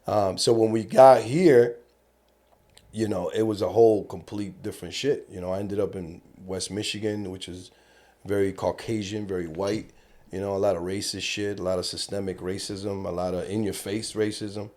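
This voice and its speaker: Lively middle-aged man from Cuba, low voice. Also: moderate pace. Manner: animated storyteller, acts out quotes, swears casually. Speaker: actor guest. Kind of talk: casual podcast interview.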